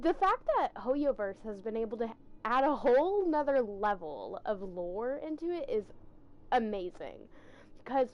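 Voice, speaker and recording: Casual female streamer, fairly high, excitedly rambling commentary, muffled microphone. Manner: rambling, enthusiastic, halting. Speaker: game streamer.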